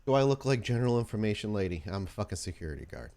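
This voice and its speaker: A man's voice: lowish voice, very animated, very fast, mellow. Man playing a curt, irritable security guard. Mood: irritated. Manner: curt and dismissive. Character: gruff, irritable, sloppy male security guard.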